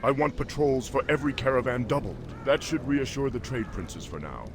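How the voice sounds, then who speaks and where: A man playing a dignified orc war chief in a fantasy game cutscene, speaking with measured authority. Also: medium pitch, lively intonation, music in the background, lightly acted. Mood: commanding.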